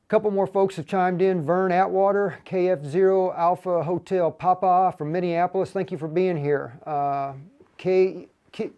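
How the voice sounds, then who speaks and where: An American man hosting a livestream in a casual, folksy, informal way, talking in a halting flow. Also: sits high, clear, resonant tone, highs cut off. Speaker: livestream host for a radio equipment seller.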